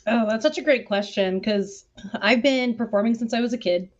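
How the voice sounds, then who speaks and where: A woman's voice: a little high, moderate pace. A woman from Southern California chatting informally and enthusiastically as a guest on an online panel, heard over lo-fi call audio. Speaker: panel guest, performer and producer. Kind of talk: casual chat on an online panel.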